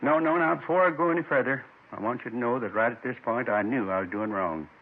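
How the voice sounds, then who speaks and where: An actor playing a lonely, gentle man in his seventies, folksy and wistful, confessing in short rambling phrases in 1950s radio drama style. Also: mid-range voice, quick pace, breathy edge. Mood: remorseful. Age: 70s. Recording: muffled 1950s radio recording.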